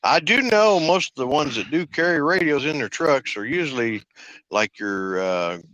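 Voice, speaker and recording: A man who drives trucks, chatting on a live panel in a relaxed, matter-of-fact way. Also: fairly high pitch, moderate pace, lo-fi sound. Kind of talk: casual live panel chat.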